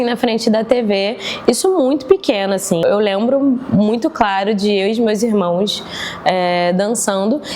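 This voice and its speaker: A woman's voice: mid-range voice, darkish tone, slight background noise. Twenties woman from Rio, documentary interviewee, hesitant and reflective. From Rio de Janeiro. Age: 20s.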